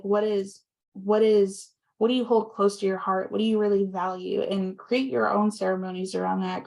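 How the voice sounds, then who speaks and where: A woman guest speaker on a video call, soft-spoken with frequent ums, slightly hoarse from talking long. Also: medium pitch, poor audio. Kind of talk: presentation wrap-up and casual chat on a video call.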